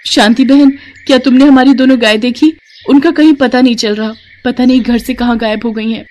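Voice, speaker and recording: A woman's voice: a little high, steady tone, fairly quick, a little mellow, voice pushed up, close to an everyday voice. A woman voicing a devout, caring village wife in a story, with an earnest, pleading manner. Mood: worried.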